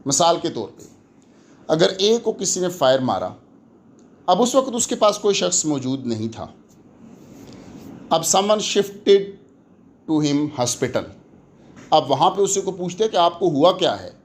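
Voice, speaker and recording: A man's voice: fairly high pitch, sing-song, measured pace, long pauses. A man with a Pakistani accent giving an explanatory lecture in a didactic way, switching between two languages and posing questions that he then answers. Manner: didactic, mixes Urdu and English, poses questions then answers them. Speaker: law lecturer. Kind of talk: explanatory exam-prep lecture.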